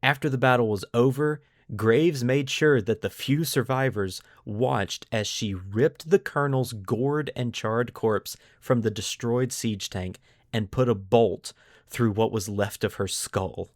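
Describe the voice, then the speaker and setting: A man's voice: medium pitch, measured pace, dry recording, studio quality. A man hosting a podcast, mixing scripted lore narration with casual commentary and putting dramatic emphasis on key words. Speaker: podcast host.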